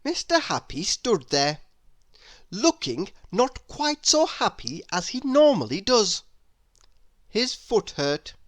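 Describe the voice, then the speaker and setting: Male children's storyteller, unhurried, dramatic, swooping intonation, dry sound. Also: high-pitched, very animated, a bit bright, dry recording. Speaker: storybook reader. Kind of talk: expressive children's read-aloud narration.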